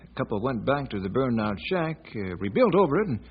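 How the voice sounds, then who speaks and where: An actor playing a folksy westerner who tells local history in a deliberate storytelling way, in an old-time radio western. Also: male voice, mid-range voice, very animated, natural voice. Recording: noisy, dull old radio recording.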